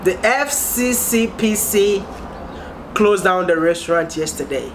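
A man's voice: sits high, animated, measured pace, noisy background. A young man vlogging his opinions to camera in an emphatic, exclamatory way, often asking and answering his own questions. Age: young adult. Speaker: video blogger. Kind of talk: animated opinion vlog to camera. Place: outdoors on a high balcony over a city.

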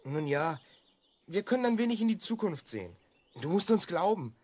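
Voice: medium pitch, sing-song, moderate pace, darkish tone. An actor playing a confident boy time traveller from the future, eager and encouraging, in a children's audio drama. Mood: urging.

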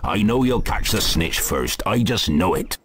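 A big, kindly gamekeeper in a video game, deep-voiced and animated. He is hearty and encouraging, with faint noise behind him. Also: a little slow, heavily acted. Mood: proud encouragement.

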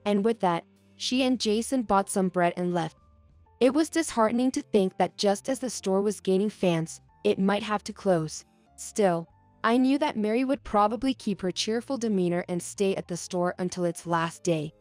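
Woman reading out a story, calm, super dry close mic. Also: mid-range voice, normal speed, no echo, faint music behind. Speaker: narrator. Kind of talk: scripted story narration.

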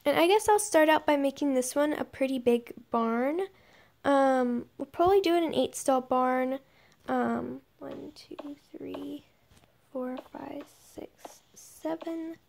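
High-pitched, creaky young woman gamer. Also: slow, a little mellow. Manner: murmured counting under breath, thinking aloud. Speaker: gaming video creator. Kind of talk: casual game commentary while building. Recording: noisy home recording with clicks.

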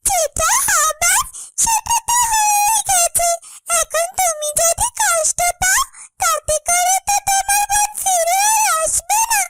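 A tiny talking bird from a fairy-tale cartoon. It has an extremely high, squeaky voice and consoles in a coaxing, melodramatic way, with clear music behind. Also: female voice.

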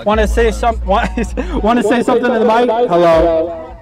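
A young adult man chatting in casual slang as an excitable, jokey prankster vlogger. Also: sits high, normal speed. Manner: excitable, jokey, often yelling. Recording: loud music over camera audio.

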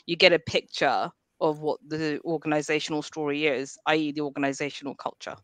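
A woman speaking as a panel guest on a video call, explaining her work in a calm, measured way. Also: sits low, no echo. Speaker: panel guest, civil service culture specialist.

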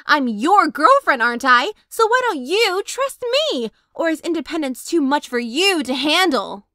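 A woman voicing a quick-tempered teenage girl who swings between flustered and sarcastic, in a comic dub. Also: sits high, very animated, no room sound, studio quality, heavily acted. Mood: scolding. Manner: flustered, then sharp and sarcastic. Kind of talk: fan comic dub acting.

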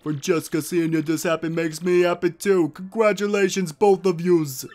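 A man voicing a gruff, bossy mob boss and sentimental father in a cartoonish game, talking in blustering wiseguy slang. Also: high-pitched, fairly even intonation, moderate pace, a little mellow, natural voice. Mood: grudgingly moved.

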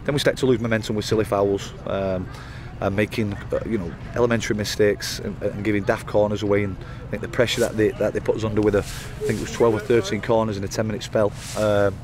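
A man in his thirties, a football manager giving a post-match interview outdoors by the pitch at night, talking in rambling runs full of fillers and restarts. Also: steady tone, fast, few pauses. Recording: outdoor interview with loud background noise.

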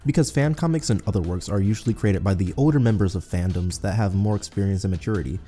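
A man's voice: fairly low pitch, fast, mellow, breathy edge. A man reading scripted narration as the narrator of a video essay. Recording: background music under voice. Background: music.

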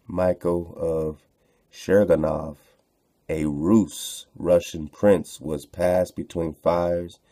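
A man's voice: sits low, dark tone. A man casually hosting an unscripted online show, rambling, repeating phrases and using slangy filler. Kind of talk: casual unscripted commentary while browsing on screen.

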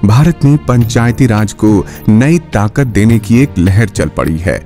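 A man reading scripted documentary narration in a formal, measured, explanatory manner. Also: fairly low pitch, animated, dark tone. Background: background music.

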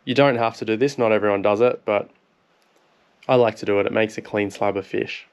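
An Australian man in his thirties talks through a cooking demo in a relaxed, plain way. His voice sits low, stays fairly even in pitch and sounds smooth and clear. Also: fairly quick, muffled recording. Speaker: fishing vlogger. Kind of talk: instructional cooking voice-over.

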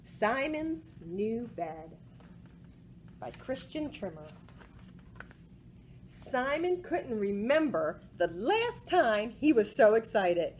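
A woman in her forties reading a picture book aloud to children in an expressive way, with asides about the pictures. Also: on the high side, slow, pauses often. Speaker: library storytime presenter. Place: small indoor room with bookshelves. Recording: home recording with faint background noise.

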